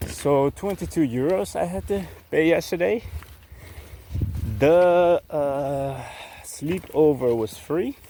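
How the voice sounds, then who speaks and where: Young man, slow casual vlog chat, outdoors on a trail, faint countryside sound behind. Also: mid-range voice, mellow, a little hiss or hum. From Belgium. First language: Dutch. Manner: chatty and unhurried, with many fillers and restarts. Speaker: vlogger. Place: outdoors on a rural hiking trail. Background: faint outdoor ambience.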